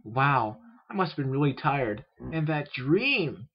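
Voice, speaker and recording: Excitable, anxious little filly unicorn played by a man, pitched well up, storybook reading, dull lo-fi sound. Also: slow pace, breathy edge, full character voice. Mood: sleepy, shaken. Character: young female unicorn apprentice, excitable, anxious, eager. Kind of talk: fan fiction read aloud with character voices.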